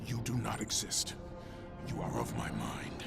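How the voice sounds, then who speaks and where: A man playing a grim, hardened Spartan warrior in a video game, curt and accusing, with a gravelly voice. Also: fairly low pitch, big pitch swings, measured pace, heavily acted. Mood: grim, defiant. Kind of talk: video game dramatic acting. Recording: loud music behind.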